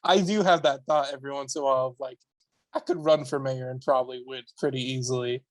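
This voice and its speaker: A man's voice: medium pitch, fast talker, dry recording. A man in his twenties, a podcast guest, chatting casually with dry, self-mocking humor. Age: 20s. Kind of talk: casual chat.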